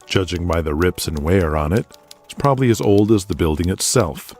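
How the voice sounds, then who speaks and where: A male narrator tells an audio drama in a scripted, theatrical style. His voice sits fairly low and moves expressively. Faint music plays beneath it. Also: expressive, normal speed, darkish tone.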